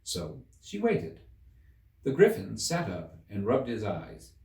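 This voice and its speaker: A man giving an expressive scripted narration of a story as its reader. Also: fairly low pitch, takes it slowly, creaky. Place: room inside a museum. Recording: echoey room, distant mic.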